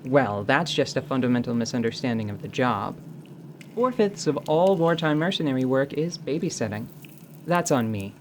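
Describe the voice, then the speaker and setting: A woman's voice: a bass voice, lively intonation, moderate pace, slight background noise. Woman playing a wry, teasing devil-woman mercenary. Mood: wistful, teasing.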